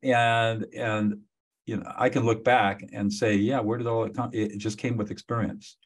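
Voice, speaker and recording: A man's voice: fairly low pitch, little pitch movement, normal speed, husky. A man in his seventies hosting an interview podcast, speaking emphatically and encouragingly. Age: 70s. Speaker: podcast host. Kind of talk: interview conversation.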